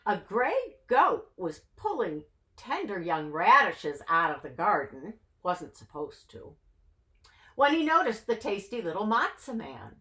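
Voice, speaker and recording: A woman reads a children's storybook with a highly animated, swooping delivery. Her voice is bright and fairly high, and she takes her time, stretching phrases for dramatic effect. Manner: expressive, dramatic, drawn-out phrasing. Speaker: story reader.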